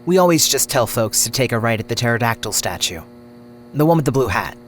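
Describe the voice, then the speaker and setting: An actor voicing a friendly motel clerk in a radio drama, with a folksy, easygoing way of chatting. The pitch moves in a lively way and the voice sounds a little off-mic. A faint electrical hum runs behind it. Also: brisk, close to an everyday voice.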